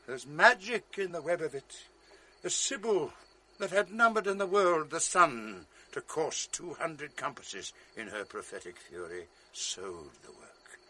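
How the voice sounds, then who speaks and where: An actor playing a jealous noble general in a radio drama, grave and commanding with a resonant stage delivery. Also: male voice, a little high, lively intonation, a little slow, bright, forceful tone, a little hiss or hum. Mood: solemn. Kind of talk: 1980s radio drama acting.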